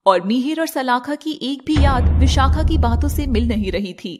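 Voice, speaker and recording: A woman's voice: high-pitched, lively intonation, moderate pace, over music. A woman narrating a fairy tale in a scripted, dramatic storytelling style.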